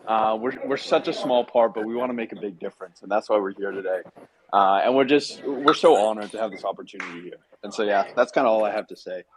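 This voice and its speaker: A man's voice: lowish voice, fast talker, background noise. A man speaking as a guest in a casual group chat, humble and a little hesitant.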